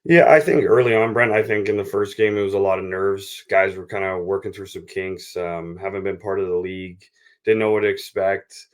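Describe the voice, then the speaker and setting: A man talking as a baseball coach in an interview, explaining things in a measured, conversational way. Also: low-pitched, fairly even intonation.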